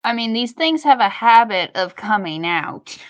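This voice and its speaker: A woman's voice: fairly high pitch, expressive, unhurried, no room sound. A woman co-hosting a podcast, talking in casual, conversational chat. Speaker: podcast co-host.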